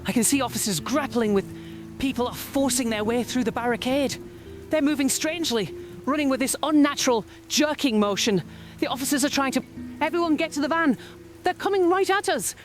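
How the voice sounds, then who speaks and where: A woman's voice: high-pitched, brisk, music in the background, close to an everyday voice. A woman playing an alarmed field correspondent, giving an urgent, dramatic radio report. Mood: alarmed, panicked.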